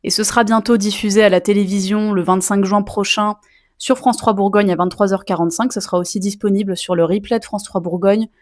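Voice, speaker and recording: A woman's voice: medium pitch, fairly even intonation, a little fast, a little mellow, no room sound, clean studio sound. A young woman, a mezzo-soprano student, telling a personal story to camera in a chatty way with lots of asides and fillers. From Sicilian heritage.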